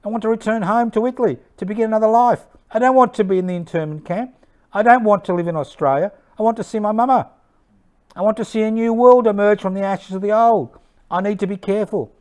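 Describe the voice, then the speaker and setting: Man acting a desperate, high-pitched frightened prisoner. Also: fast, a little mellow, natural voice. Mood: frightened, pleading. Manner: urgent, repetitive pleading. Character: frightened, pleading Italian anti-fascist man held in internment camp.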